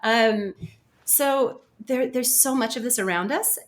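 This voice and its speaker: American woman, interview guest; earnest, animated, emphatic conversational read. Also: fairly high pitch, slow. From United States. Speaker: guest, author and speaker.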